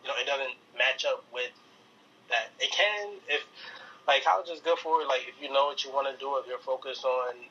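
A man from Harlem in New York City, a guest answering in a relaxed way, thinking aloud with many ums. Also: mid-range voice, normal speed, breathy edge, recorded from a distance, dull audio. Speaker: guest who works at a college. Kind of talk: casual interview answers.

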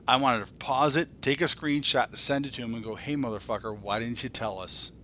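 A man's voice: mid-range voice, fairly even intonation, a little fast, pushed and bright, slight background noise. A man in his forties hosting a podcast with casual, swearing banter and a blunt, joking manner. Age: 40s. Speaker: podcast host.

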